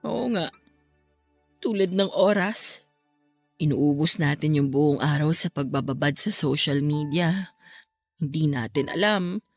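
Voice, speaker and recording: A woman's voice: low-pitched, unhurried, long pauses, dry recording. A young woman playing a bereaved, heartbroken woman in a Filipino radio drama, speaking in a plaintive, emotional way. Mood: wistful. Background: music.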